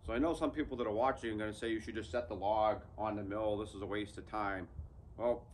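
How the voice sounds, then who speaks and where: A man's voice: fairly low pitch, fairly even intonation, quick pace, a little hiss or hum. Plainspoken man explaining his sawmill setup. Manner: plainspoken, practical, talks to viewers directly. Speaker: channel host. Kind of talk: casual how-to vlog talk. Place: outdoors under an open sawmill shed in woods.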